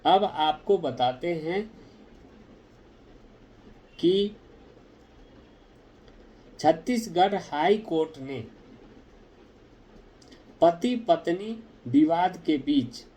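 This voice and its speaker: Brief: male legal explainer, raised voice, slow measured delivery, speaks straight to viewers. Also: a little high, animated, mellow, low-quality recording. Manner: addresses viewers directly, deliberate and explanatory.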